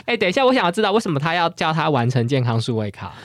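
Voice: medium pitch, very animated, fast, no room sound. 台灣腔主持人，語調起伏大. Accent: Taiwanese Mandarin. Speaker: podcast co-host. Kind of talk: casual, opinionated chat.

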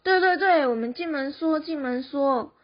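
A woman's voice: high voice, normal speed, close to an everyday voice. A teenage girl acting a tender, anxious mother in an amateur radio drama. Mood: fussing, tender. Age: teens. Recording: dull lo-fi student recording.

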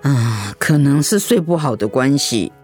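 A woman's voice: very deep voice, expressive, measured pace, a little mellow, slightly rough, faint music behind, exaggerated voice. An actress playing an elderly, strong-willed mother who frets over her children in a radio drama. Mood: casual.

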